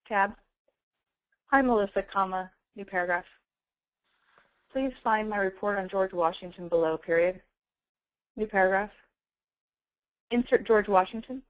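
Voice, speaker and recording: A woman giving a webinar software demonstration, enunciating clearly as a presenter. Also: mid-range voice, measured pace, pauses often, no room sound, rough recording. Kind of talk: webinar product demonstration with dictation. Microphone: close handheld speech mic.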